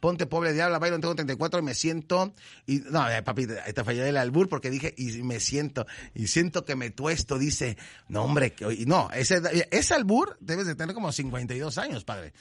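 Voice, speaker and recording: A Mexican man hosting a radio show in a dry, close-miked studio, bantering and joking as he reads listener messages. His voice sits a little high for a man. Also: normal speed, breathy edge, no echo. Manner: joking, teasing, lively banter. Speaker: radio host. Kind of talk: reading listener messages on air.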